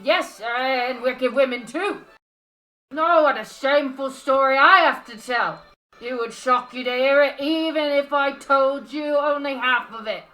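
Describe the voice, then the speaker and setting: Woman playing a high, quavering, boozy repentant sinner. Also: sharp tone, soft background music, rough recording. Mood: maudlin, pleading. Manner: slow, melodramatic confessional pleading. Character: boozy, quavering repentant sinner giving tearful mission testimony. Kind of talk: radio play acting.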